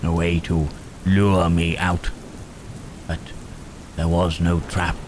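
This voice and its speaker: A brooding, protective monster, acted by a man in an ASMR roleplay, with a very low voice and slow, halting delivery. His phrasing is formal and old-fashioned, thoughtful and gentle. Rain and thunder sound clearly behind him. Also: little pitch movement, a little hiss or hum. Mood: brooding, puzzled.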